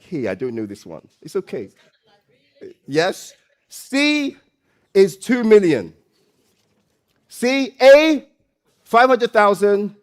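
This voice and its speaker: A man hosting a live stage event, loud and playful, coaxing the audience and often repeating phrases. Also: sits high. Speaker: event host and MC.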